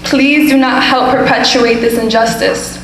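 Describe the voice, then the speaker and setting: Firm young woman, slightly high, echoey hall. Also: steady tone, measured pace, a bit bright, a little hiss or hum. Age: young adult. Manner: firm, insistent, reading a statement. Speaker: student speaker at public hearing. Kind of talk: prepared public comment. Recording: echoey hall with faint ambience.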